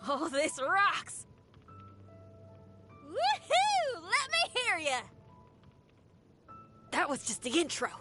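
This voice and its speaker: Brash young female rocker for a game: exuberant, slangy, high and swooping. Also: pushed and bright, exaggerated voice. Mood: fired up. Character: upbeat, brash young female rock musician. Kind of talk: video game character voice lines.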